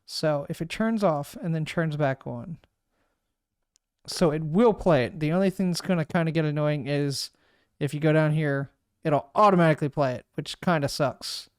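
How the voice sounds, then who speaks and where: Smooth, dry-voiced man explaining software. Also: a little high, normal speed, dry recording. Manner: relaxed, thinks aloud while clicking through steps. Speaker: streamer giving a software tutorial. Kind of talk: casual screen-recorded walkthrough. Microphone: desk microphone.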